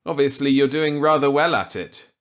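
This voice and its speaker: Male narrator as earnest government scientist, stiff, uneasy, incredulous, raised pitch, audiobook character. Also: normal speed, lightly acted. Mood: stiff, guarded.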